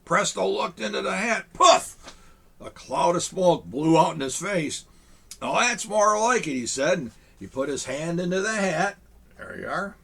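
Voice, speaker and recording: A man reads a children's picture book aloud to kids from a small studio set, talking with them between pages. His voice is gravelly and husky, sitting a bit high for a man, with a lively, swooping delivery. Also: a little slow. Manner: warm, chatty grandfatherly asides to children. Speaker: storyteller in a Santa persona.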